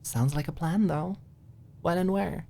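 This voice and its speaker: Woman acting easygoing, wry college girl, low-voiced. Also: a little slow, gravelly, warm tone. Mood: soft, joking. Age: young adult. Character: easygoing young college woman, grinning, wryly teasing roommate.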